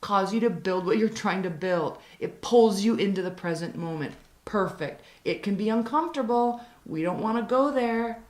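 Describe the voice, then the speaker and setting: A woman with a raspy, hoarse voice reading tarot cards, earnest, repeating her advice and slowing down to stress it. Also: mid-range voice, animated, darkish tone, reverberant. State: hoarse from talking for days. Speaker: tarot reader.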